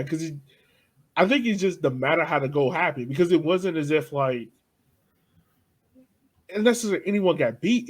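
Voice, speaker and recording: A young man co-hosting a casual sports podcast, talking loosely with frequent laughs and soft trailing asides. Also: fairly high pitch, husky. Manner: loose and chatty, laughs often, trails off softly. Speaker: podcast co-host.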